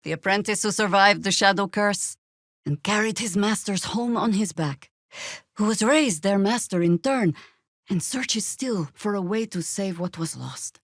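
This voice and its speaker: A woman's voice: medium pitch, measured pace, no echo. A woman playing an older, seasoned druid warrior in a fantasy video game, wry, dry and commanding in manner. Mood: dry, resolute.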